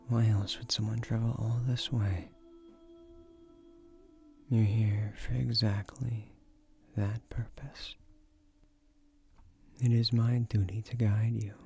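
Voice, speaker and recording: A man playing an ancient magical guardian of a tree in a fantasy audio roleplay, soft-spoken, wry and gently formal, with measured delivery. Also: on the low side, gravelly. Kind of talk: slow soothing fantasy audio roleplay, scripted.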